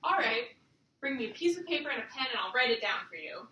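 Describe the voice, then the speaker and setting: A woman's voice: a little high, big pitch swings, quick pace, muffled recording, slight background noise. A woman playing a kindly, scheming older male neighbor with an earnest storytelling delivery in a staged musical reading. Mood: helpful, secretive. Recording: echoey distant stage recording, loud piano.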